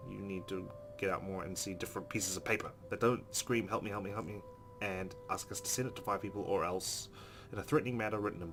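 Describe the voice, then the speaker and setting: A man doing a let's play, reading game narration aloud expressively and adding casual, informal asides. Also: fairly low pitch. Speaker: let's player. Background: game music.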